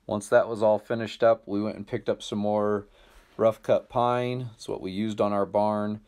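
A man's voice: on the low side, level tone, normal speed, a little mellow, smooth. An American man in his thirties talking casually to viewers in a laid-back, plain-spoken way, like a DIY vlogger. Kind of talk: casual vlog narration to viewers.

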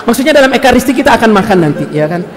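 A man in his thirties, a priest giving a lively homily at mass, animated and questioning the congregation, speaking into a headset mic. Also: very high-pitched, rapid-fire, a faint crowd. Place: large church hall. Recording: long hall reverb.

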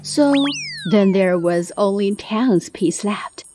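A woman's voice: medium pitch, takes it slowly. A woman narrating a scripted children's story as a storyteller, with music playing behind her.

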